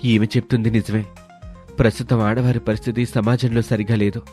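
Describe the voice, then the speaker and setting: A man playing a kind, helpful village fruit seller in a moral story, speaking in an earnest, measured way. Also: medium pitch, flat delivery, dark tone, faint music behind, natural voice. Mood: thoughtful, sincere. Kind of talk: dramatised moral story acting.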